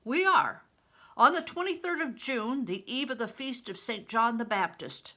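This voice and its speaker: A woman voicing a big, gruff, commanding man, a traveling performer in a storybook reading, who speaks in blunt orders and oaths. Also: a little high, animated, normal speed, bright, forceful tone, pitched above the performer's own voice. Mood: solemn, evasive. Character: big, gruff, commanding middle-aged male traveling mummer.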